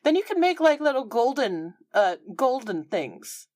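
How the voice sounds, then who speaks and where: A woman plays a tabletop roleplaying game, narrating her character casually. Her pitch swings widely and expressively, and the sound is dry with no room to it. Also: very animated, unhurried, a little mellow, dry recording.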